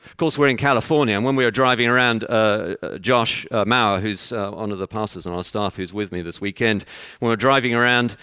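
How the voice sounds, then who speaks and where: Low-voiced Texan preacher. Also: male voice, bright, forceful tone, clear, resonant tone, no room sound, studio quality. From Texas. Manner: earnest, conversational preaching with halting pauses. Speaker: visiting preacher. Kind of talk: conference sermon. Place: church conference.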